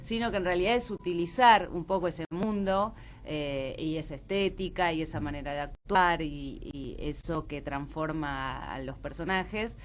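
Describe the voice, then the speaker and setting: A woman's voice: low voice, normal speed, few pauses, slight background noise. A woman with an Argentine Rioplatense accent explaining her work as a theatre director in a conversational interview, often checking for agreement.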